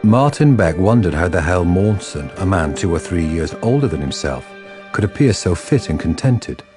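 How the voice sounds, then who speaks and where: A man's voice: low-pitched, big pitch swings, moderate pace, music in the background. A man narrating a radio drama from a script.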